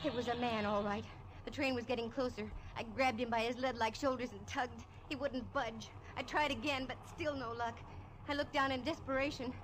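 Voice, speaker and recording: A woman playing a brisk, wry female private eye, narrating a 1950s radio drama in a crisp manner. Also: a little high, fairly even intonation, natural voice, low-quality recording. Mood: tense.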